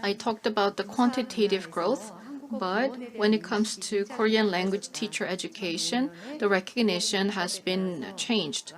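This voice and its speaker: A woman works as a live conference interpreter, delivering formal content in measured phrases with small hesitant pauses. Her voice sits at a middling pitch, and audience noise is clearly heard around it. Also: a crowd in the background.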